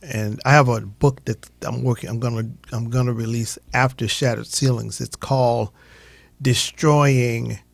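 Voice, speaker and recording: A man's voice: medium pitch, steady tone, measured pace, vocal fry, no echo. A man hosting a radio show, chatting in a casual, affirming interview style.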